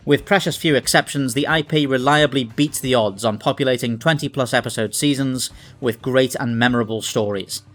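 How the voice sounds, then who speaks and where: A man's voice: mid-range voice, a bit bright. A man hosting a scripted video essay, speaking in an articulate, analytical way. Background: background music.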